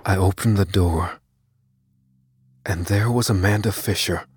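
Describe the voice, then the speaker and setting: An actor playing an unassuming, determined man who tells his own story as a secret vigilante in a measured, solemn audio drama narration. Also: sits low, steady tone, husky, no echo, heavily acted. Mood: tense, reflective. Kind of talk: dramatic first-person scripted narration. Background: music under the voice.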